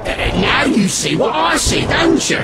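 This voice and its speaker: Game imp sidekick: British, very high and gravelly, cheeky and foul-mouthed. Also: male voice, measured pace, very noisy, full character voice. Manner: jeering, slangy, excitable. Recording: echoey with long reverb, loud music.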